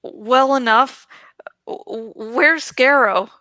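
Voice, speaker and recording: A woman reader puts on a weakened young man from a storybook. He is anxious and hesitant, tripping on his first words. The delivery is very slow and bright, recorded dry and close. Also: high-pitched, no echo, exaggerated voice. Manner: hesitant, stammering. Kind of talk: audiobook storybook reading.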